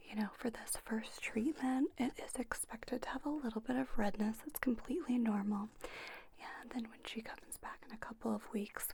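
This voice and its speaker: Soft whispering woman, gentle ASMR roleplay. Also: on the high side, slight background noise, low-quality recording. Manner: gentle, unhurried, reassuring. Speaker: ASMR performer playing a spa owner. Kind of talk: ASMR roleplay, instructing a trainee.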